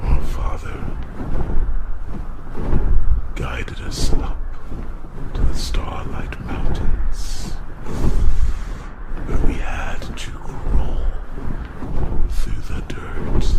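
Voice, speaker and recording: A man voicing a proud ancient dragon who tells his tale in a halting, dramatic, breathy growl, in a fantasy ASMR roleplay. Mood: wistful. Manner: dramatic, halting, addresses listener as human. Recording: loud rushing ambient noise.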